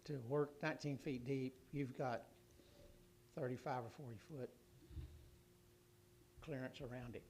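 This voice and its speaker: Hoarse man giving a rambling report. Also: medium pitch, steady tone, a little mellow, slight background noise. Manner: rambling, halting, many restarts and fillers. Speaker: city official giving a utility report. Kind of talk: council meeting report.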